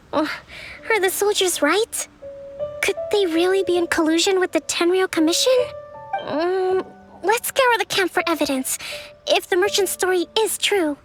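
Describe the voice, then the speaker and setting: A calm, high-voiced strategist. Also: female voice. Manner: measured, composed, analytical. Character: calm young female military strategist and priestess. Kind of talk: video game dialogue. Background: game music.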